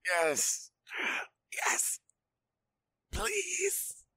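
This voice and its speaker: A woman acting a defeated assassin who is being choked and pleads in a strained, halting way. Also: very high voice, huge pitch swings, creaky, bright, forceful tone, full character voice. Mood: choked, pleading.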